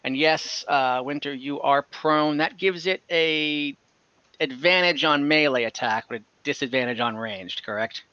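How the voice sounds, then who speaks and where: A man's voice: on the high side, measured pace, bright, forceful tone, clear, resonant tone, dry recording. A young man running a tabletop game over a video call, casual and hesitant with many fillers. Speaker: game master. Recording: dull lo-fi call audio.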